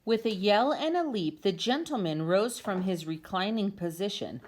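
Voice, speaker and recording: A woman's voice: medium pitch, lively intonation, normal speed, a little mellow. A woman reading a children's storybook aloud as narrator, in an amateur home recording. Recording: clear background noise, amateur home video.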